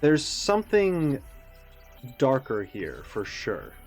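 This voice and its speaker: Man musing in unhurried, casual commentary. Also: mid-range voice, huge pitch swings, soft background music. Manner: musing, speculative. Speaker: YouTube reaction host.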